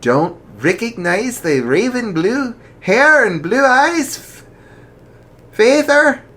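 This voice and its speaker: A storyteller voicing a proud young woman who talks back sharply and defiantly, pitched far above their own voice. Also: expressive, slow pace, fairly bright tone. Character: proud fierce young woman defending her father.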